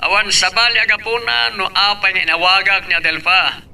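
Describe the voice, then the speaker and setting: A stern patriarch and company boss in a radio drama. The voice is high, harsh and forceful with a gravelly creak, picked up from a distance. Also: male voice, steady tone, brisk, full character voice.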